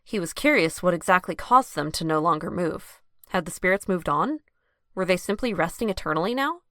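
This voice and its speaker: A woman's voice: lowish voice, animated, fairly quick, a bit bright, no room sound, clean studio sound. A woman narrating a scripted audio drama as its storyteller.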